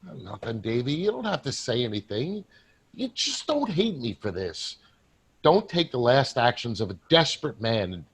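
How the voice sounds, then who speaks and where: A man playing the ghost of a desperate salesman in a staged play reading, speaking earnestly and haltingly with a husky voice. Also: medium pitch, big pitch swings. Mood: pleading. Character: desperate, pleading male ghost of a dead salesman.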